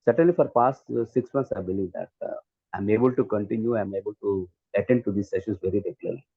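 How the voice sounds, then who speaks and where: A man with a Telugu Indian accent talking casually on a community call, halting and rephrasing as he discusses. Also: fairly low pitch, slightly rough, dry recording. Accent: Indian, Telugu-speaking. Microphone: online community call. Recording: slightly dull, lo-fi call audio.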